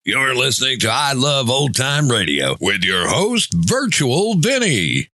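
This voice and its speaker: Bright, very animated male intro announcer. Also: medium pitch, measured pace, no echo, studio quality, soft background music. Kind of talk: scripted show intro.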